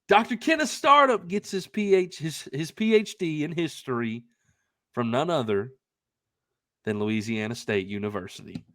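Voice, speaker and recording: A young man co-hosting a podcast, telling a casual anecdote in a rambling, emphatic, excitable way. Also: on the high side, moderate pace, dry recording, clean studio sound. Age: young adult. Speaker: podcast co-host telling a story.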